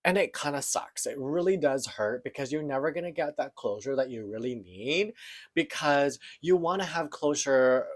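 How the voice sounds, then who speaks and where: A man in his thirties, from the Philippines, speaks in a personal monologue. His voice sits at an ordinary middle pitch, and he talks in an earnest, searching, open way. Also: lively intonation, normal speed. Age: 30s. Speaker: podcast host.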